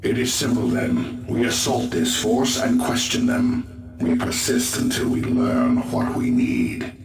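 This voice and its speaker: A man's voice: mid-range voice, expressive, a little slow, natural voice. An actor playing an earnest, resolute male elf warrior in a video game, with formal and solemn speech. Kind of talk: scripted video game acting. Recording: echoey, distant, music behind.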